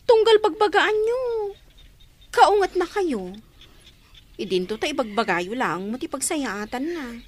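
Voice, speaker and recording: A woman's voice: high voice, big pitch swings, a little slow. A young woman with an Ilocano Filipino accent playing an earnest, concerned daughter in a radio drama. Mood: exasperated, earnest.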